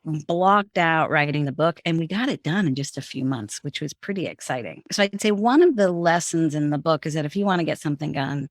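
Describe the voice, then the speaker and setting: A woman's voice: deep voice, lively intonation, fairly quick, hardly any pauses, no room sound, studio quality. A middle-aged woman, a guest on an interview show, recounting her career in a conversational way with frequent restarts. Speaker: guest, former bank executive. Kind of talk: storytelling, interview answers.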